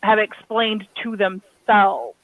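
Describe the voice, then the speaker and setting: A woman's voice: mid-range voice, flat delivery, takes it slowly, creaky, no echo, low-quality recording. A woman from Kentucky with an American accent, chatting and explaining casually as an author on a discussion panel. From Kentucky, USA.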